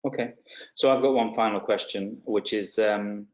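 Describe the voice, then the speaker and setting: A man's voice: on the low side, steady tone, normal speed, echoey room. A man hosting a webinar interview, putting casual questions with agreeable, hesitant phrasing.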